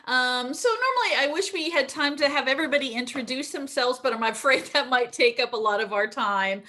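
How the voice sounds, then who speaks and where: A middle-aged woman with a fairly high voice leads an online teacher training over a video call. She talks in quick, upbeat bursts, full of ums and uhs. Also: animated, bright, forceful tone. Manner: fast, upbeat, frequent ums.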